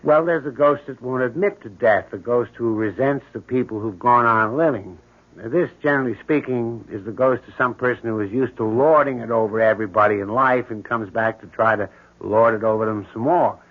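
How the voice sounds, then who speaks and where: A man's voice: mid-range voice, fairly quick, close to an everyday voice. Man as eerie matter-of-fact handyman, 1970s radio drama; mid-range voice, narrow tinny audio. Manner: casual, matter-of-fact, brooding. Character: odd, morbid building handyman obsessed with ghosts. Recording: thin, tinny old radio broadcast.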